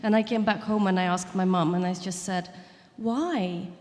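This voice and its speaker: A woman from Ukraine, a panel guest, speaking earnestly in halting conversational talk with many fillers and restarts. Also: medium pitch, steady tone, a little mellow. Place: event hall. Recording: long hall reverb.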